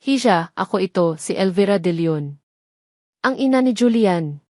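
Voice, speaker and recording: A woman voicing an older matriarch in an audio drama, soft-spoken, affectionate and earnest, with quiet authority. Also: medium pitch, animated, normal speed, no room sound, clean studio sound, lightly acted. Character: gentle, dignified older matriarch, warm yet authoritative.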